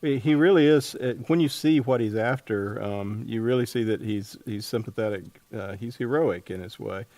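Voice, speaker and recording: A man with a slightly low voice interviews an author as a podcast host. He talks casually and haltingly, thinking as he goes, and the sound is close and dry. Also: a little mellow, no echo.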